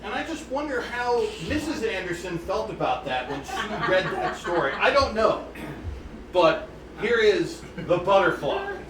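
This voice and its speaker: Man on the high side for a male voice, chatty pianist explaining pieces between performances; recorded off-mic in a roomy space with faint background hum. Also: big pitch swings, measured pace. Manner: retells stories conversationally, with asides to listeners. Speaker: pianist introducing pieces at a recital. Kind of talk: informal spoken program notes between pieces. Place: indoor room with air conditioning. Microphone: phone with USB microphone, placed away from speaker. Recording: roomy and distant with faint ambience.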